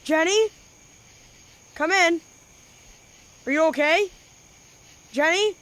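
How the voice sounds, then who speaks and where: A woman's voice: extremely high pitch, slow pace, a bit bright, heavily acted. A young female-sounding performer playing an easygoing, joking boy in an amateur radio drama. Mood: concerned. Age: child.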